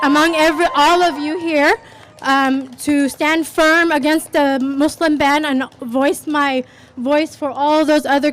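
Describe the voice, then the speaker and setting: A woman giving an unscripted personal speech at a rally, halting and searching for words. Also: high-pitched. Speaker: rally speaker.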